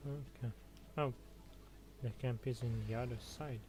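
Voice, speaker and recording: A man's voice: medium pitch, fairly even intonation, unhurried, darkish tone. A man giving casual, muttered commentary while playing a game. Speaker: gamer streamer.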